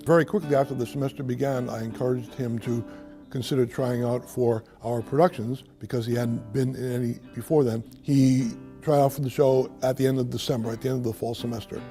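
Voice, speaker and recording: A man who teaches and directs theater speaks in a measured, reflective interview manner. His tone is mellow and warm, at an ordinary pitch for a man. Light music plays softly behind him. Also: steady tone.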